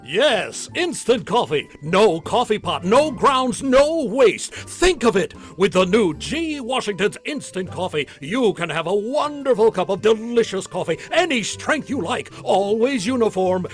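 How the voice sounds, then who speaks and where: Man doing a vintage commercial announcer, high lively voice, emphatic sales patter, upbeat. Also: heavily acted. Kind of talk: 1940s radio commercial read.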